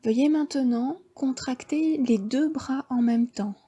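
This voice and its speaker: A woman with a French accent guiding a yoga nidra relaxation, giving slow, soft, half-whispered breathing cues. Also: high voice, breathy edge. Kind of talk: guided relaxation instruction.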